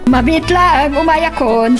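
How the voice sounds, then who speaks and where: A woman's voice: sits high, takes it slowly, over music. A woman with an Ilocano accent playing a stern, probing middle-aged mother in an audio drama. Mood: concerned. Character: stern, probing mother questioning her grown son. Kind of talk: amateur Ilocano radio-style romance drama acting.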